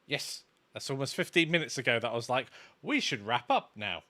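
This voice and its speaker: A man with a bright, forward voice talks casually as co-host of a live stream. He explains in a halting, stop-start way, in a medium pitch. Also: very animated. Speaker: livestream co-host, game development course maker.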